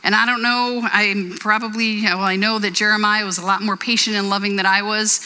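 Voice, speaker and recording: A woman's voice: medium pitch, little pitch movement, a little fast, pushed and bright, smooth. A woman in her forties preaching a sermon in a church, earnest and conversational, with personal stories. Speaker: pastor. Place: church hall. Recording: reverberant church hall.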